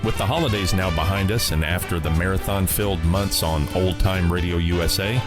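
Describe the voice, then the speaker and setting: Man, very low voice, radio host, measured scripted delivery, loud music underneath.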